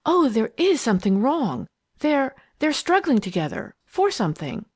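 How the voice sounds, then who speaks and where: A woman voicing a gentle, timid, caring girl in a storybook, earnest and a little breathless. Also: mid-range voice, sing-song, quick pace, husky. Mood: breathless alarm.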